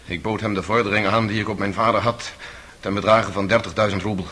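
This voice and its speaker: A man's voice: lowish voice, fairly even intonation, fast, pushed and bright. An actor playing an impulsive, embittered man on trial for murder in a radio courtroom drama. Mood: rueful. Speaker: defendant. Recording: noisy old radio play recording.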